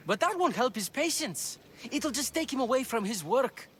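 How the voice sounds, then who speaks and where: A man's voice: very high voice, sing-song, moderate pace, fairly bright tone, slight background noise, full character voice. A man playing an anxious, earnest assistant to an ancient Greek physician in a video game. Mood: flustered. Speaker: game character. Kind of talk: video game cutscene acting.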